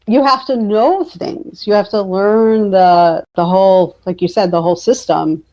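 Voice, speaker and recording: Woman, middle pitch, casual interview, author, animated informal storytelling. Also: a little slow, muffled recording.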